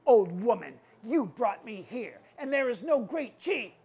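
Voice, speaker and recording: A woman storyteller playing a proud male chief who speaks loudly in a scolding, demanding way. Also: a little high, a little slow, lightly acted. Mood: indignant. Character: proud, loud great chief, a man, indignant and easily upset. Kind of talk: live oral storytelling.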